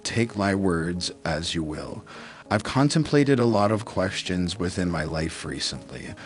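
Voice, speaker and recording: A man's voice: sits low, lively intonation, unhurried. A man playing a solemn, secretive wealthy father in an amateur roleplay drama, earnest and grave. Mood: solemn, reflective.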